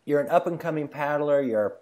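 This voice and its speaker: A man's voice: on the high side, a little fast, a little mellow. A man hosting a casual podcast interview, often laughing and cheering on his guest. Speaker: podcast host.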